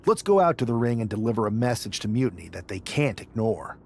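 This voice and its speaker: An actor playing a confident, scheming male wrestler in a video game cutscene, talking in a measured, plotting way. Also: lowish voice, big pitch swings, creaky, darkish tone, slight background noise. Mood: sly, determined.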